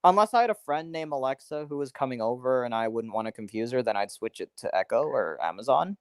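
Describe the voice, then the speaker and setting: A man's voice: mid-range voice, animated, quick pace, no room sound, studio quality. Chatty man hosting a casual livestream. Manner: chatty, opinionated, rambling with restarts. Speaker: livestream host. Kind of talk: casual chat.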